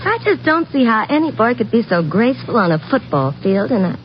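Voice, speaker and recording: An actress playing a sweet, easily alarmed young college girl in a 1950s radio drama, with a girlish, expressive manner. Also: a little high, a little fast. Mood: chatty, light. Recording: muffled, faintly noisy old radio broadcast.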